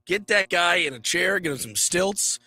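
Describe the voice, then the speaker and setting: A young man talking sports on a radio show, his voice hoarse from shouting, joking in loose banter. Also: sits high, expressive, normal speed. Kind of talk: casual sports chat. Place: radio studio. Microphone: studio mic.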